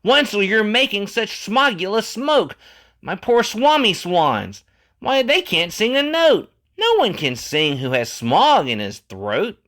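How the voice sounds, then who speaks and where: A man's voice: high-pitched, huge pitch swings, unhurried, a bit bright, voice pushed up, exaggerated voice. A man voicing a grumpy, indignant small storybook creature who scolds and pleads for nature in rhyme. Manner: scolding, plaintive, preachy. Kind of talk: storybook character in rhymed verse.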